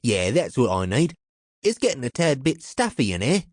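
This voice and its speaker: A man's voice: medium pitch, very animated, normal speed, a little mellow, no room sound, clean studio sound, pitched above the performer's own voice. A man voicing a tall, nervous crook with a Cockney accent and an anxious, chattering manner in an audiobook.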